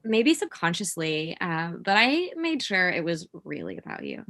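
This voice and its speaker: Actress as sardonic, lively-pitched thirty-year-old woman. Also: normal speed, full character voice. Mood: scornful. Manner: dry, cutting. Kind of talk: naturalistic comedy table read.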